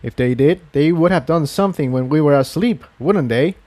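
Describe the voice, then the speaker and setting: A man's voice: a little high, normal speed, warm tone, natural voice. A man voicing a frank, easygoing young male pony adventurer in a storybook, with a casual, slightly dismissive manner.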